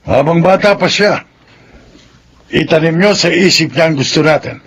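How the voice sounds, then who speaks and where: A domineering grandfather in a radio drama. He makes stern demands in a slightly high voice over faint background noise. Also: moderate pace, fairly bright tone. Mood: stern, demanding. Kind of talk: Filipino radio drama acting.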